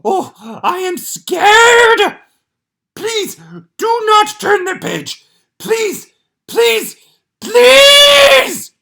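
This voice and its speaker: A woman voicing a lovable furry male monster, shouting and pleading in a high, panicky voice. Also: huge pitch swings, slow, vocal fry, exaggerated voice. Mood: terrified.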